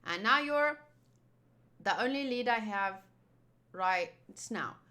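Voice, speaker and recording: A woman's voice: mid-range voice, lively intonation, slow pace, bright, forceful tone. A woman livestreaming a visual novel playthrough, reading game text aloud and adding playful, chatty asides. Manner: playful, chatty, self-interrupting. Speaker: streamer playing a visual novel.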